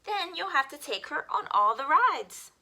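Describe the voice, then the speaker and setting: A woman reading picture books aloud to young children as a story time host, with expressive, simple phrasing. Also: high-pitched, moderate pace, slight background noise.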